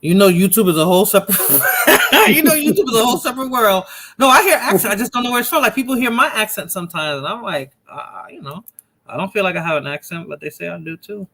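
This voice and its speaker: A woman's voice: medium pitch, lively intonation, quick pace, a bit bright, a little hiss or hum. A woman with a Bronx accent hosting a casual livestream chat, laughing often and repeating herself. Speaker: livestream host.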